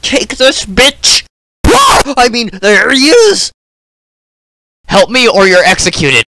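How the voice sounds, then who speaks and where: A man's voice: sits high, very animated, slow, sharp tone. A man performing comedic game voice lines in exaggerated character voices, theatrical and prone to outbursts.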